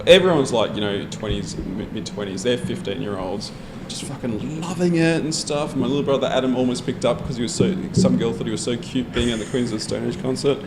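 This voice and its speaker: A man's voice: medium pitch, brisk, few pauses, background noise. A young Australian man in a casual discussion, telling stories bluntly and swearing freely. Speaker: podcast co-host. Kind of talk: casual pub-style discussion.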